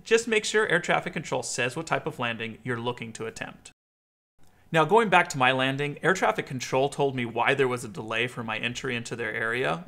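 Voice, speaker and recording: An American man in his thirties giving a clear instructional explainer as a video host. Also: medium pitch, pushed and bright.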